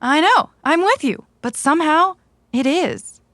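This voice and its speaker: A woman's voice: sits high, animated, a little slow, a bit bright, no room sound. A woman playing an earnest, insistent young cyberpunk runner with a sarcastic streak in an audio drama. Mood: earnest, uneasy.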